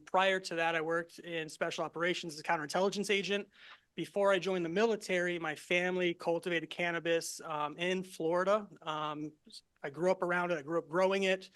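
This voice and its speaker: A middle-aged man presenting to a live audience in a relaxed, soft-spoken way, speaking into a handheld microphone. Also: fairly high pitch. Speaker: cannabis grower and presenter. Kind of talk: casual talk to a live audience. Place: event venue with live audience.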